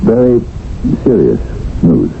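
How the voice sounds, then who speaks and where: A man's voice: deep voice, wildly swooping pitch, takes it slowly, gravelly, heavily acted. An actor playing a smitten, hesitant professional man who stammers, in 1970s radio drama on a muffled, hissy broadcast. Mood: flustered, smitten. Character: smitten, flustered professional man handling an estate.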